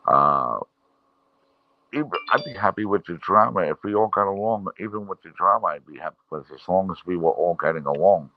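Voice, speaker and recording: A man's voice: deep voice, lively intonation, a little fast, no echo, narrow, tinny audio. A middle-aged man with a Brooklyn New York accent, chatting casually on a livestream, reading the chat and rambling with frequent laughs. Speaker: livestream host.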